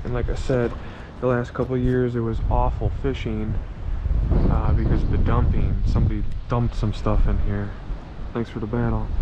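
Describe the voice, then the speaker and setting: A man at a small pond records himself on a head-mounted camera while casting and reeling. He chats to viewers in a loose, friendly way and gets excited over each fish. Outdoor ambience comes through clearly on the camera mic. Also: medium pitch, dull audio, background noise. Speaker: fishing vlogger. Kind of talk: casual first-person fishing commentary. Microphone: head-mounted action camera.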